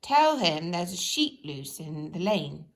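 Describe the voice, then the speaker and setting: A woman voicing a brisk, polite, official village policeman from a children's storybook. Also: fairly low pitch, sing-song, pitched below the performer's own voice, full character voice. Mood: businesslike. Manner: businesslike, polite.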